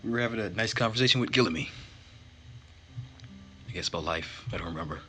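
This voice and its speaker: Low, very bright-voiced man over music. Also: fast talker. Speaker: gaming video host. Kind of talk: visual novel narration with casual commentary. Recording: music behind the voice.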